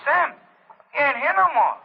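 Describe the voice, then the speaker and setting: A man's voice: very high voice, lively intonation, moderate pace, vocal fry, long reverb tail, highs cut off, heavily acted. An actor playing a nervous, folksy male morgue attendant in a 1940s radio drama on an old broadcast. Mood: anxious.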